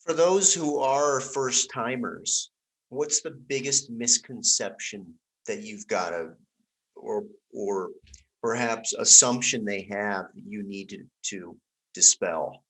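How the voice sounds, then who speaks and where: A man's voice: fairly low pitch, slow pace, a bit bright, rough recording. A man hosting an interview, asking thoughtful questions and rephrasing them as he goes. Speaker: interview host.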